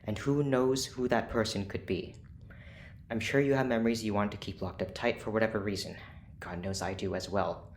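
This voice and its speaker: A cool, clinical scientist played by an actor in an audio drama, speaking in formal, precise sentences. The delivery is controlled and persuasive, at a middle pitch, with a faint rumble of noise behind it. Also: fairly even intonation, normal speed, natural voice. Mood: cool, pressing. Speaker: scientist running experiments.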